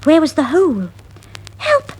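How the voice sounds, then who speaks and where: A woman narrating a scripted children's fable in a dramatic, expressive storytelling style. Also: medium pitch. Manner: dramatic, expressive, deliberate phrasing. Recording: noisy 1960s vinyl record.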